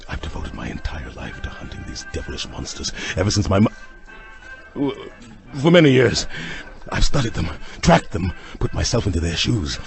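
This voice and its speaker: An actor playing an obsessed vampire-hunting doctor in an audio drama, grave and urgent with melodramatic delivery. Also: male voice, on the low side, wildly swooping pitch, moderate pace, faint music behind. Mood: urgent, fervent. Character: obsessed intense male vampire hunter doctor.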